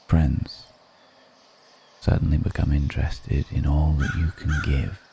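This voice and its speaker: An extremely low-voiced ancient male vampire, acted by a man for an ASMR roleplay, with a gravelly creak in his voice. He is world-weary yet seductive, brooding unhurriedly in a dark, mellow tone. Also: little pitch movement, slight background noise, full character voice. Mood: reflective, wistful. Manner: slow, deliberate, brooding, with long pauses. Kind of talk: intimate ASMR roleplay monologue.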